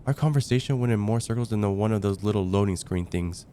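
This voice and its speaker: A young man playing a casual heartbreaker friend confiding about dating in a conversational, hesitant ASMR roleplay, with sighs and trailing pauses. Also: on the low side, warm tone, a little hiss or hum, close to an everyday voice. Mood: let down. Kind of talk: ASMR roleplay, acted one-sided conversation.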